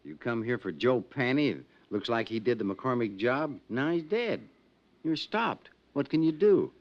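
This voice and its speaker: A man's voice: mid-range voice, very animated, a little slow. An American actor playing an anxious, friendly insurance company man, earnest and fretful, in 1950s radio drama style. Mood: astonished, doubtful. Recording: muffled 1950s radio recording.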